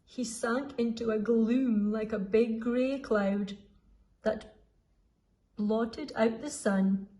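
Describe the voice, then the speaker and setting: A woman reading a children's story aloud expressively, deliberately drawing out the dramatic sound words. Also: on the high side, fairly even intonation, warm tone. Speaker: school storyteller.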